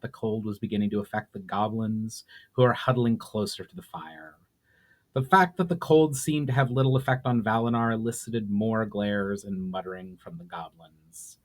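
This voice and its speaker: A man giving a dramatic reading of his own written story, measured in delivery, with occasional stumbles and self-corrections. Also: mid-range voice. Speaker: author reading own book aloud. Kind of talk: dramatic audiobook-style narration.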